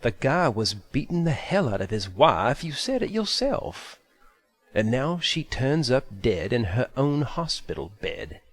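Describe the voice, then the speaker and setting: A man's voice: mid-range voice, sing-song, a little slow. A man playing a loyal, blunt friend with a casual manner in an audio drama. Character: loyal married male friend, blunt and dismissive.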